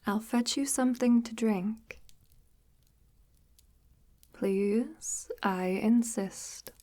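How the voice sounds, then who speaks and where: A woman plays a witch of the deep woods in an intimate audio roleplay. Her voice is soft, dark and breathy, often sinking toward a whisper. She speaks slowly in formal, old-fashioned phrases, coaxing the listener with a gentle, sinister menace. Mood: soothing, hospitable. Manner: unhurried, formal, archaic-tinged, gently menacing. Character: soft-spoken, sinister, coaxing forest witch luring a lost traveler. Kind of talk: ASMR audio roleplay, slow intimate monologue.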